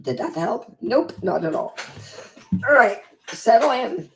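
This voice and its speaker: A woman from Denmark hosting a casual livestream and reading aloud from her own book, her voice croaky and hoarse from a long day of talking, chatty and easily sidetracked. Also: fairly high pitch, big pitch swings, a little slow, fairly bright tone, slight background noise, poor audio. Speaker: vlog host and author.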